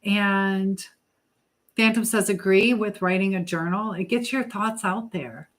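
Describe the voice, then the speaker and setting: A middle-aged woman hosting a casual live chat, reading out messages and giving motherly advice in a rambling, halting way. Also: medium pitch, fairly bright tone. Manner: motherly, advising. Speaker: live stream host.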